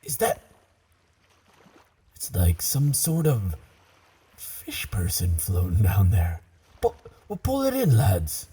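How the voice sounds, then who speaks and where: A man playing a gruff, curious werewolf pirate captain in an audio roleplay, with a low gravelly voice, a stage pirate accent and slow, musing seafaring talk. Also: huge pitch swings, mellow, a little hiss or hum, full character voice. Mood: baffled, wary.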